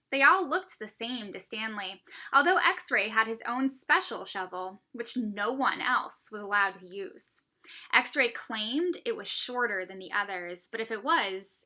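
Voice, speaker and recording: Bright, higher-voiced woman reading a story. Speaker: teacher reading a story aloud. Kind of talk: expressive children's novel read-aloud.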